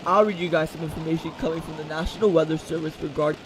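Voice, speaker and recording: Over clear rumbling noise, a sensible radio co-host , acted by a man, talks quickly. His voice sits fairly high, in the style of a scripted talk show skit. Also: steady tone, natural voice. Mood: exasperated.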